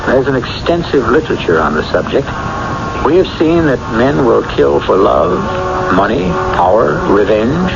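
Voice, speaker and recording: A man hosting a radio drama with wry, rhetorical scripted narration in deliberate phrases. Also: medium pitch, creaky. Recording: muffled, very noisy vintage radio recording.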